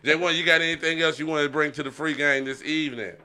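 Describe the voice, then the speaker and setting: A man's voice: fairly high pitch, fairly even intonation, quick pace, over a noisy crowd. A man co-hosting a podcast, chatting casually and colloquially with frequent conversational tags. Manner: colloquial, repeats "you know what I mean". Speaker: podcast co-host. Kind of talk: casual chat.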